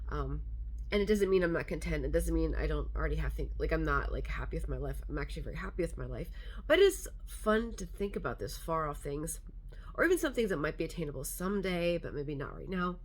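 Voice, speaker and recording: A woman's voice: deep voice, expressive, brisk. A woman vlogger chatting casually to camera, congested and a little nasal, rambling with lots of fillers.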